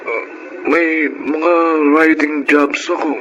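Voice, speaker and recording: A man's voice: on the high side, steady tone, slow pace, lo-fi sound, over music. A man playing a mild, earnest and hesitant young writer in a Filipino radio drama. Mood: defensive.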